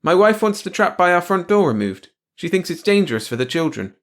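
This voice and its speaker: A man's voice: sits high, sing-song, a little fast, a bit bright, voice pushed up. A man voicing a stubborn, plaintive little male mouse who pleads insistently in a storybook tale. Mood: shouting, insistent.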